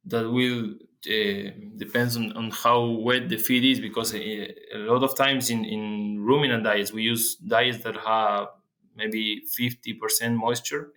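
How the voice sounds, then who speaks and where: A young man from Argentina, a guest researcher explaining his work in an interview, speaking haltingly with many restarts and fillers. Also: on the low side, pushed and bright.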